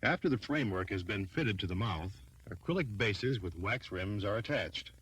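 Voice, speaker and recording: A man reading scripted training film narration in a formal, measured, instructional manner. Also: lowish voice, expressive, fairly bright tone, breathy edge, poor audio.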